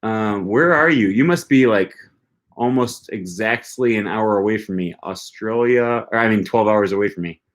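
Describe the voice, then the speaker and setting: A man's voice: fairly low pitch, moderate pace. A young man hosting a language-learning live stream, reading viewer comments aloud in a chatty, rambling way with lots of ums and restarts. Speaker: language-learning livestream host.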